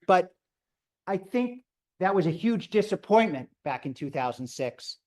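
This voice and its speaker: A man's voice: high voice, sing-song, a little slow, darkish tone. A man, a rabbi, answering audience questions in a lecture and thinking aloud as he goes. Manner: thoughtful, thinking aloud with restarts. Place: large echoey hall. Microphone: microphone failing, speaking loud from a distance. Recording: echoey hall, voice from far off.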